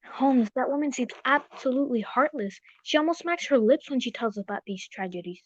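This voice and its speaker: A woman playing a loyal, easily indignant gentleman sidekick in a radio drama, exclaiming in an old-fashioned, blustering way. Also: high-pitched, expressive, a little fast. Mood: concerned, curious. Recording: dull, roomy recording.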